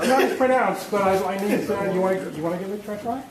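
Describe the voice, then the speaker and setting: A man's voice: high voice, quick pace. A man making an informal announcement to a group, hesitant and drily self-deprecating. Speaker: club member directing a script. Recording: echoey meeting room, crowd murmur.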